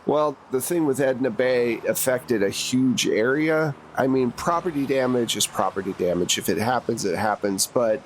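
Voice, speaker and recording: A man's voice: medium pitch, normal speed, hardly any pauses, a little hiss or hum. A man narrating a tabletop roleplaying game as game master, in a conversational, plain-spoken way. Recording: music behind the voice.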